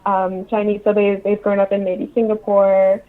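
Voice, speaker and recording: A woman's voice: medium pitch, completely flat delivery, normal speed. Woman on a tinny phone-like line. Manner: conversational, informal, explanatory. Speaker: interview guest, book publisher. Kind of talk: casual interview conversation. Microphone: remote call.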